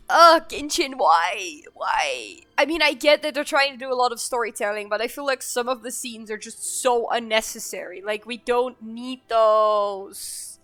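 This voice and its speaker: A woman's voice: high voice, pushed and bright. A woman streaming a game, chatting casually and laughing with her viewers. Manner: chatty, laughing, talks to chat. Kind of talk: casual live-stream chat.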